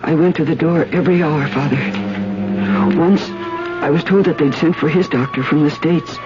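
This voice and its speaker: An actress plays a woman burdened by guilt, confessing gravely in a 1970s radio drama. Her delivery is hushed and intense. The old broadcast sounds muffled, with loud music underneath. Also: sits low, moderate pace, lightly acted. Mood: weary, grave.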